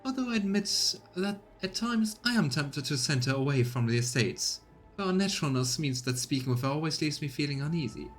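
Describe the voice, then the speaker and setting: Man putting on a high-pitched, fawning noblewoman governor. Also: expressive, fairly quick, faint music behind, close to an everyday voice. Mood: deferential, disdainful. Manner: formal, obsequious, theatrical. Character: anxious, fawning, haughty noblewoman planetary governor.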